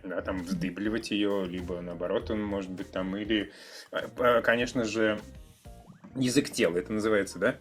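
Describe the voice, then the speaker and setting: A man chatting casually, musing in a halting way as he searches for his words. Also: fairly low pitch, steady tone, soft background music. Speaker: podcast participant.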